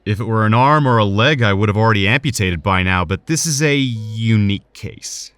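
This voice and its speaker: Male, actor as cautious doctor; lowish voice, formal and grave, close dry sound. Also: brisk, a bit bright, no echo, lightly acted. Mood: grave, measured. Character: serious, cautious male hospital surgeon. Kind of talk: audio drama acting.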